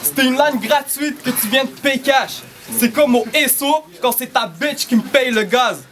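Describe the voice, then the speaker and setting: Un jeune homme à l'accent français rappe des rimes serrées, agressives et vulgaires. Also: male voice, very high-pitched, a bit bright. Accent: French. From Algeria. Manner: rapid, dense rhymes, aggressive and vulgar. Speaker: battle rapper. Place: small indoor shop venue.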